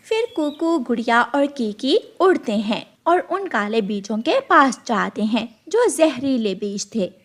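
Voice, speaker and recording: Slow, high-voiced woman telling a children's story. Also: very animated, a bit bright. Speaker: storyteller narrator. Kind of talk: scripted children's cartoon story narration.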